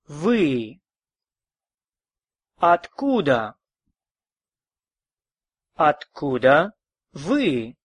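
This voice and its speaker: Male language teacher. Patient, repetitive drilling, very unhurried, slightly high voice. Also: no room sound. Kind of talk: recorded language lesson.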